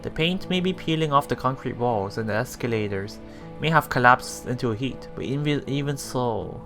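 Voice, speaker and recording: A man's voice: mid-range voice, animated, normal speed, fairly bright tone. A man reading a visual novel aloud as a let's play host, stumbling and rereading lines as he goes. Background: faint music.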